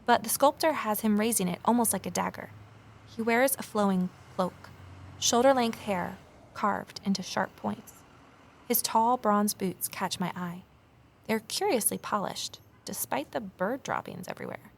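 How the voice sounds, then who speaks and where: A woman's voice: medium pitch, measured pace, creaky, a little hiss or hum. An actress playing a curious, watchful amateur investigator, telling her mystery in the first person and talking with others. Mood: intrigued, watchful. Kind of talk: audio drama narration and dialogue.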